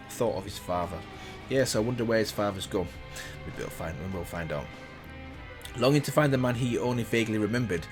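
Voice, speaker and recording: A man hosting a reaction video, reading a story aloud in a casual way with slips and self-corrections, remarking on it as he goes. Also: on the low side.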